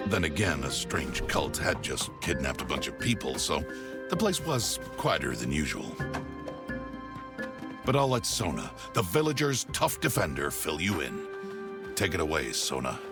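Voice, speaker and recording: An actor playing a gruff, kindly older guardian and playful narrator in a family adventure game, with a wry, hammy delivery. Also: male voice, extremely low pitch, sing-song, moderate pace, full character voice. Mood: mock-solemn narration. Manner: wry, hammy, comically flustered. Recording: music behind.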